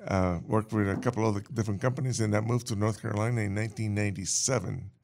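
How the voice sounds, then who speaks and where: A man from Cuba, a guest on a talk show telling his story in a plain, steady way. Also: fairly low pitch, quick pace, vocal fry. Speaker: guest, restaurant owner. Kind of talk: casual interview.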